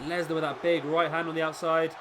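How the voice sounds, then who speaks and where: Man giving excitable game commentary. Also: a little high, fast talker, over a noisy crowd, heavy background noise. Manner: excitable, rising during big moves. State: sniffly with a cold. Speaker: gaming YouTuber commentating a wrestling game. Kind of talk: play-by-play commentary.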